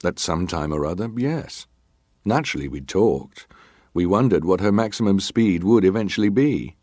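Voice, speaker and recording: A man acting a middle-aged ship's officer in a dramatised reading of testimony, giving guarded, measured answers with clipped formal deference. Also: low voice, lively intonation, darkish tone, no echo, studio quality. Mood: guarded, composed.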